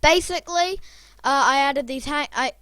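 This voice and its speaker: A young gaming vlogger talks with a croaky voice that sits a little high. The talk rambles and chats along, self-deprecating and loose. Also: normal speed, pushed and bright, no echo. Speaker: Minecraft YouTuber. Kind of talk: casual gameplay commentary.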